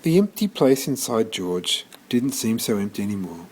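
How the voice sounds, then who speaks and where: A man reading a children's story aloud in an expressive storytelling manner, occasionally stumbling and restarting. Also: on the low side, normal speed, a little hiss or hum. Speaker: storybook reader.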